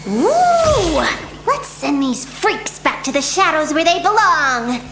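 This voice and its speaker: A woman playing a bratty, hot-tempered cartoon brawler, forceful and mocking. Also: high-pitched, very animated, slow pace, music in the background, exaggerated voice. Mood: gleeful. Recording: echoey, faint noise.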